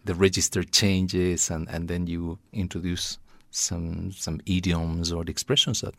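A male interviewer asking questions in English in a measured, encouraging way. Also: low-pitched, flat delivery, gravelly, dry recording. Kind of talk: conversational interview.

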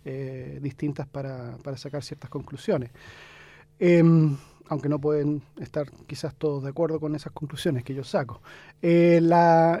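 A man, answering interview questions thoughtfully, pausing often with fillers. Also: fairly high pitch, warm tone, no echo. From Chile. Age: 50s. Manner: thoughtful, hesitant with many fillers. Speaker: guest, academic.

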